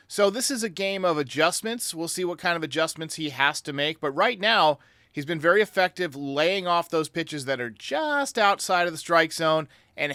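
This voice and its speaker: Male sports podcast host, slightly high voice, bright, dry sound. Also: no room sound. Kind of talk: sports commentary answering fan voicemails.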